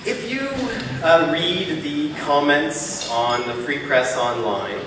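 A man in his thirties delivers a keynote from a podium, steady and persuasive, stacking his points in rhythmic runs. His voice rings out through a big reverberant hall. The recording is taken from well back in the room, with a clear hum of ambient noise underneath. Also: a little high, slow, recorded from a distance. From Canada. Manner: earnest, persuasive, builds points in rhythmic lists. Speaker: keynote speaker. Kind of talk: public speech. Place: large hall, at a podium on stage. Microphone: podium mic, filmed from far off.

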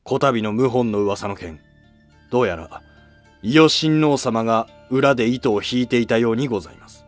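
A man's voice: medium pitch, normal speed, soft background music, natural voice. Man acting scheming, formal Heian courtier. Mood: deferential. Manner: formal, calculating period speech. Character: scheming, ambitious male Heian courtier, deferential to superiors.

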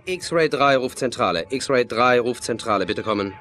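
An earnest secret agent played by a man in a radio thriller. His mid-pitched voice is forceful and urgent, with faint noise behind it. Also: animated, moderate pace, lightly acted. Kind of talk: German audio drama acting.

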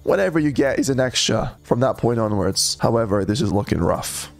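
A young man streaming an online slot game, chatting to viewers and breaking into loud yelps when it pays, though he is sick and losing his voice. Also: medium pitch, animated, brisk, vocal fry, soft background music. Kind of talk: live commentary over online slot play.

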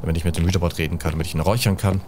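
A man's voice: deep voice, fairly even intonation, very fast, background noise. A man in his thirties giving casual game commentary as a streamer, sprinkling in gamer slang and English phrases, close to a desk microphone. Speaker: let's play streamer. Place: indoors, seated close at a desk. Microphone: desk microphone at close range.

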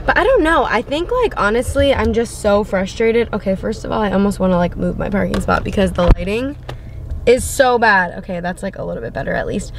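A woman's voice: medium pitch, animated, fairly quick, slight background noise. A young woman from Florida, a home decor vlogger, chatting casually and gushing about her finds while filming in stores and later from a parked car. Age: young adult. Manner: bubbly, gushing, filler-heavy. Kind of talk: casual shopping vlog chat. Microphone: handheld camera.

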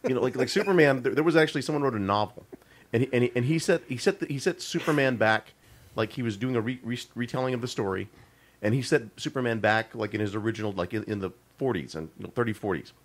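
A man's voice: medium pitch, animated, quick pace. Chatty man with a middle voice. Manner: chatty, lots of fillers and restarts, laughing. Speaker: podcast co-host. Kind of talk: casual chat.

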